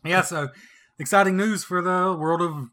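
A man with a Southern US accent chats on a podcast, wry and quick to joke. His voice sits somewhat high and sounds bright and forceful. He laughs easily between remarks. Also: fairly even intonation. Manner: joking, wry, laughs often. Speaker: podcast co-host. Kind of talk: casual chat.

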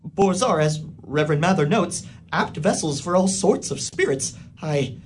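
A man's voice: fairly high pitch, lively intonation, moderate pace, natural voice. A jittery young schoolmaster stammers his way through formal courtesies, his voice swooping up and down as nerves get the better of him, as a man plays him. He turns preachy and fussy about demons and propriety. There is a faint hiss behind him. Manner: stammering, hesitant, overly formal. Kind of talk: community radio play acting.

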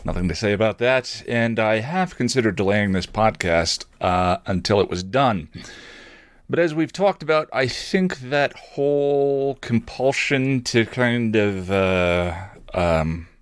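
Low-voiced, animated man hosting a podcast. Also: slow pace, smooth, no room sound. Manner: rambling, self-interrupting, digressive. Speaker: podcast host. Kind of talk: casual solo podcast talk.

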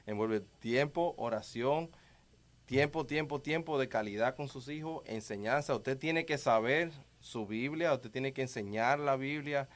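A man's voice: medium pitch, measured pace. Emphatic Dominican man talking faith. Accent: Dominican Spanish. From Dominican Republic. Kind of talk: conversational religious talk.

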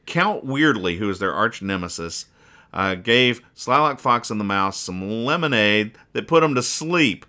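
A man's voice: on the low side, lively intonation, a little slow, bright, forceful tone. A man hosting a podcast, bantering casually about comic strips in a teasing, mock-exasperated way. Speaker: podcast host.

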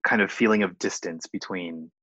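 Young man, casual guest, hesitant with fillers, low voice, dull dry recording. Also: steady tone, normal speed, sharp tone, dry recording. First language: not Japanese. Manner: hesitant, many fillers, laughs often. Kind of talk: casual chat.

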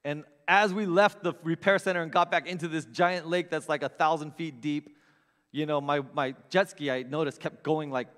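A middle-aged man preaching a sermon, telling funny stories about himself with big swings in loudness. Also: on the high side, moderate pace, long reverb tail. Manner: animated, self-mocking storyteller with big swings in loudness. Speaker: pastor. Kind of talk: storytelling sermon. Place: church sanctuary with long reverb.

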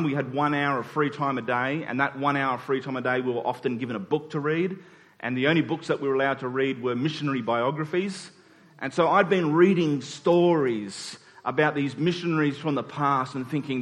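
A man in his forties with an Australian accent, preaching a sermon in a conversational, reflective storytelling way with pauses for emphasis. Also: a little high, a bit bright. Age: 40s. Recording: long hall reverb.

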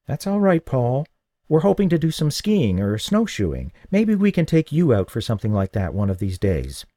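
A male narrator voicing a kind young woman who sounds gentle and a little hesitant. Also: extremely low pitch, huge pitch swings, normal speed, no room sound, clean studio sound, exaggerated voice. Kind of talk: audiobook reading.

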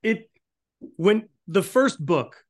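A man's voice: high voice, lively intonation, takes it slowly. Eager American man asking rambling questions. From Newport, Rhode Island area of the US. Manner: enthusiastic, rambling questions with restarts. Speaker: interviewer. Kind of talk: conversational interview.

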